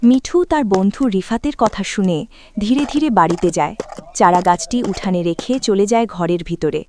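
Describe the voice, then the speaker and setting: A woman's voice: mid-range voice, animated, moderate pace, a little mellow, a little hiss or hum. A woman reading scripted narration for a children's cartoon story.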